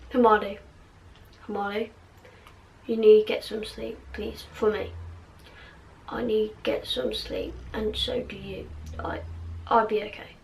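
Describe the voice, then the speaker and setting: A woman voicing an injured, tired, caring teenage boy wizard from a story, quietly and haltingly. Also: mid-range voice, little pitch movement, creaky, poor audio. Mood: tired, gentle.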